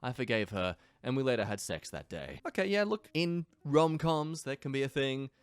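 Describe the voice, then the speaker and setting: A man's voice: medium pitch, lively intonation, normal speed, clean tone, no echo. A young man from England hosting a reaction channel, reading online stories aloud and adding sarcastic asides. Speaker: YouTube reaction host.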